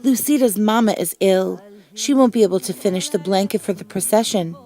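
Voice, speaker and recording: A woman's voice: medium pitch, brisk, dark tone, soft background music, lightly acted. A woman voicing a regretful, gossiping village woman in a storybook, lamenting and emphatic. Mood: disappointed.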